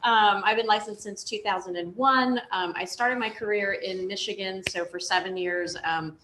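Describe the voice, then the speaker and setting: A woman introducing herself on a panel in a casual, halting way, with plenty of "um"s. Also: medium pitch, bright, forceful tone, a little hiss or hum. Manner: hesitant, with many "um"s. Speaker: real estate agent on a panel. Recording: roomy echo.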